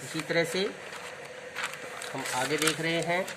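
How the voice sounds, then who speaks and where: A man's voice: a little high, slow pace. Man teaching over air conditioner hum. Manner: explains step by step, repeats key terms. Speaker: Hindi literature teacher. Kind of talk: classroom-style explanation of word meanings.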